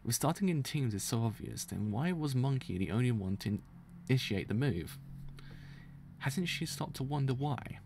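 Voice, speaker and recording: A man's voice: medium pitch, animated, normal speed, gravelly, a little mellow, slight background noise. A man voicing a cunning, disdainful beast fighter with venom, scheming and contemptuous, in a story reading. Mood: scornful, scheming. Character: cunning, disdainful poisonous beast fighter who trusts nobody.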